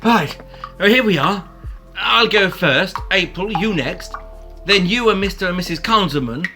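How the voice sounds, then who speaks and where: A woman playing a brisk, sarcastic evacuation leader in an audio drama, commanding and urgent. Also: medium pitch, expressive, music in the background, natural voice.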